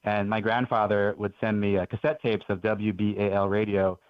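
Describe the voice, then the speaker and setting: A man's voice: fairly low pitch, flat delivery, normal speed, no room sound. A man in his forties, from Belgium, telling stories as a guest author in an earnest, enthusiastic way on a radio interview. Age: 40s. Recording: dull lo-fi radio audio.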